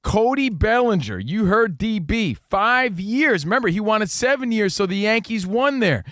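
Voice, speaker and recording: A man co-hosting a sports radio show, talking in an energetic, jokey way about baseball and pop culture. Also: high voice, moderate pace, dry recording, clean studio sound. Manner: energetic, hyped, jokey rhymes. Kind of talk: casual sports talk show banter.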